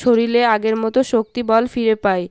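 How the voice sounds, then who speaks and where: A woman's voice: fairly high pitch, fairly even intonation, a little fast, a little mellow, clear, resonant tone, no room sound. A woman giving scripted narration of a folk story.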